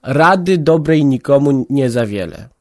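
A man's voice: medium pitch, animated, measured pace, a little mellow, no room sound, clean studio sound, pitched above the performer's own voice, natural voice. A man voicing a stern, anxious old peasant mother who scolds and lectures in folksy speech. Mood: scolding.

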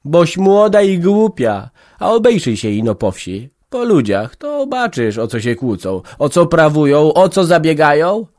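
A man's voice: a little high, sing-song, normal speed, darkish tone, dry recording, clean studio sound, pitched above the performer's own voice. A man voicing a stern, anxious old peasant mother who scolds and lectures in folksy speech. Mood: scolding.

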